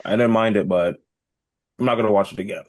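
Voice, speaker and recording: A man with a fairly low voice talks casually as a podcast co-host. The recording is dry, with hardly any room sound. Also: fast talker, dry recording. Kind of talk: casual chat.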